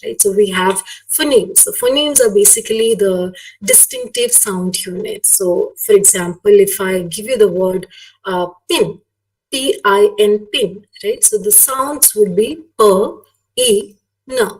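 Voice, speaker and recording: A woman's voice: mid-range voice, a little mellow. A woman with a Malayali Indian English accent, a native Malayalam speaker, giving a conversational, explanatory webinar lecture and often checking for agreement. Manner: conversational, frequently seeks agreement with tag questions. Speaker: academic guest lecturer. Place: online webinar. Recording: distant microphone, online webinar audio.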